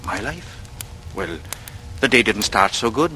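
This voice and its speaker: A man's voice: on the low side, big pitch swings, measured pace, pushed and bright, faint music behind, heavily acted. A man playing a glib, brooding young drifter with a murderous streak, in theatrical, dreamy 1940s radio thriller style. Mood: agitated, bitter. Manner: theatrical speechifying, rambling and dreamy. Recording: noisy vintage radio drama recording.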